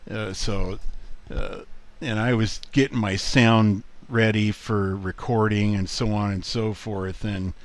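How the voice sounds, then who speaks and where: A man giving a casual screen walkthrough in a halting, unhurried way, as a video presenter. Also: lowish voice, fairly even intonation.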